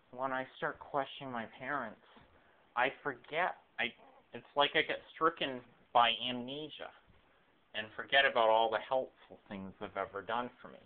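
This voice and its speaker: A man in his late twenties giving a rambling, personal monologue, halting and often rephrasing himself, recorded on a cell phone. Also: mid-range voice, clear, resonant tone. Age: late 20s. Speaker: video blogger. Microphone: cell phone camera microphone. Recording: weak, muffled lo-fi cell phone audio.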